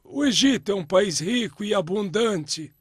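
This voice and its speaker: Husky, high-voiced old father played by a man. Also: expressive, unhurried, no echo, exaggerated voice. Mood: curious, probing. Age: older. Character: kindly old father of daughters, protective and curious. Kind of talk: acted cartoon dialogue in a religious story.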